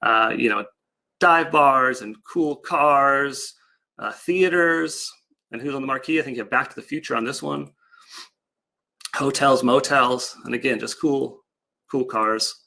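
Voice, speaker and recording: A man's voice: medium pitch, measured pace, gravelly, pushed and bright. A man giving an informal, loosely improvised online slide presentation in a casual, explanatory way. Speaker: project presenter.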